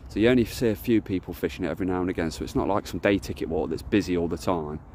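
A deep-voiced man talks in a loose, rambling way while walking a lakeside with his phone. His speech wanders and restarts, with a faint hiss of outdoor wind behind him. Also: very fast, darkish tone. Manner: rambling, chatty, self-correcting. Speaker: carp angler vlogger. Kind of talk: casual walk-and-talk vlog. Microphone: phone camera held while walking.

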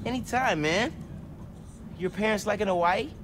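A man's voice: high-pitched, moderate pace, noisy background, lightly acted. A man playing an earnest, friendly teenage boy hero in natural game dialogue. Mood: casual, warm. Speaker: video game character. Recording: game audio with music behind.

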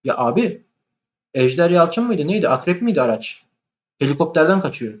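A man chatting casually and colloquially about films, opinionated and animated. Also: a little high, normal speed, lo-fi sound.

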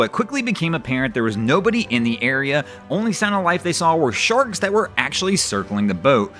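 A man hosting a weekly boating news roundup, reading scripted stories in a lively, rising and falling voice-over. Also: fairly high pitch. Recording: voice-over with faint background music.

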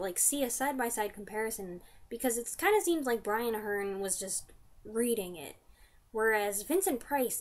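A woman in her twenties chatting casually to camera, giving an enthusiastic fan review in informal slang. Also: fairly high pitch. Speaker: vlogger reviewing radio drama. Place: small furnished room indoors.

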